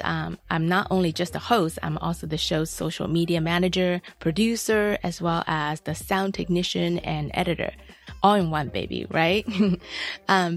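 A woman with an American accent hosting a casual interview in an informal, chatty way. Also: lowish voice. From United States, San Francisco Bay Area. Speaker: radio podcast host.

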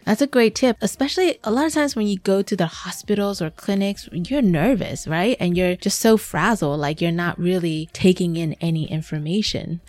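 A woman interview host whose first language is Mandarin speaks in a lower register. She is affirming and encouraging toward her guest. The recording is close and dry. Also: normal speed, few pauses, no room sound. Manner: warm, affirming, frequent backchannel. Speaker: podcast host. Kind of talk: casual interview conversation.

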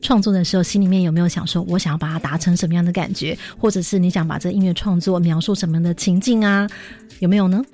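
A woman's voice: on the low side, fairly even intonation, fast, mellow, soft background music. A woman with a Taiwanese Mandarin accent hosting an educational radio interview, explaining things and addressing listeners directly. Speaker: radio host.

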